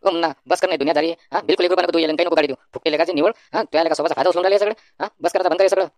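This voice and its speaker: Cartoon casting: brash young village guy played by a man, Varhadi Marathi accent, very quick, dry studio sound. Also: on the high side, steady tone, darkish tone, dry recording, natural voice. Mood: scolding. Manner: confident, colloquial. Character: brash, take-charge young village friend, male. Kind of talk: comedy cartoon dialogue.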